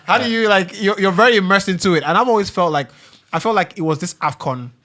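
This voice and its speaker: Bright-voiced man, playful and teasing. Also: high voice, fast talker. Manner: playful, teasing, repeats words. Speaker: podcast co-host. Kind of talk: casual chat.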